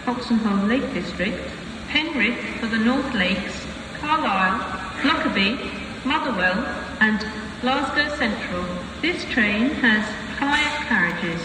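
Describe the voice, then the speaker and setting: A British woman reading scripted train platform announcements in measured segments, listing stations over a station public address system. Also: a little high, recorded from a distance. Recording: echoey station PA from afar, noisy ambience.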